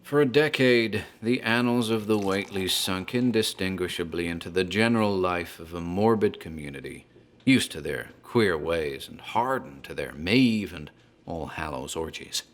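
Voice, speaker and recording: A man playing a talkative rural tavern landlord who recounts a local horror tale in a measured, ominous manner. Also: sits low, expressive. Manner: measured, ominous, literary phrasing. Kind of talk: dramatic horror storytelling. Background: music.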